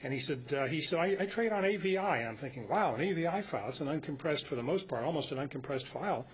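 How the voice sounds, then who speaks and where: Higher-voiced American man, muffled meeting recording. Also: animated, fast, husky. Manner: rambling, anecdotal. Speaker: meeting presenter. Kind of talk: informal club talk. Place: club meeting room. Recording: dull lo-fi meeting recording with rumbly noise.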